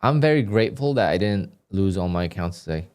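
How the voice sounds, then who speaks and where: A man with a very low voice talks his viewers through a live trading session. His delivery is flat and dry, and it sounds a touch irritated even when he says he is pleased. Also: fairly quick. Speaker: day trader livestreamer. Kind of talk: live stream commentary, reading donations.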